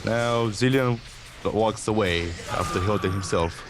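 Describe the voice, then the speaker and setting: Low-voiced, dry, sarcastic male game commentator. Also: unhurried, music in the background. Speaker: gaming co-commentator.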